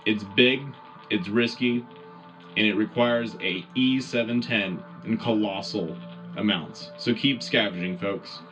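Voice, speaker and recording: A man's voice: lowish voice, a little slow, dull audio, music in the background, close to an everyday voice. A man playing an earnest wartime radio host, delivering scripted war news in a dramatic, punchy, rallying way. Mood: grave, urgent.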